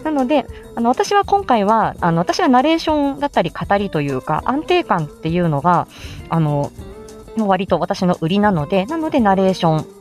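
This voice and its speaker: A woman's voice: mid-range voice, big pitch swings, normal speed, darkish tone, soft background music. A woman with an androgynous voice explaining in a casual, chatty live-stream style, as an amateur voice actor giving tips. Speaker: amateur voice actor explaining how to make voice samples.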